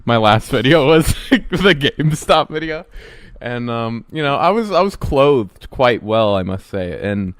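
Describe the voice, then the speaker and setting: Young male podcast co-host, giggly and rambling, animated pitch, dry close mic. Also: measured pace, no room sound. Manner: giggly, rambling, laughs often. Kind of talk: casual chat.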